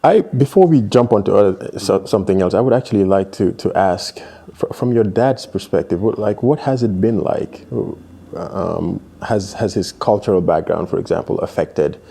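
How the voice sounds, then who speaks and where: A man in his thirties hosting an interview, asking halting questions and backing the guest up with frequent short replies, in a small studio room. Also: low-pitched, vocal fry, mellow, husky. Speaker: interview host. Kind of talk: casual interview.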